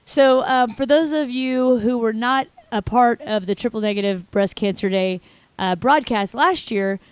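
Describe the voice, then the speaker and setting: A woman in her forties with a Texan accent hosts a live online show. She talks in a chatty, joking way, full of fillers and asides. Her voice is smooth and clear, and the sound is dry and close. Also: on the high side, a little slow, dry recording. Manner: chatty, jokey, many fillers. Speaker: host. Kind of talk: casual live broadcast chat.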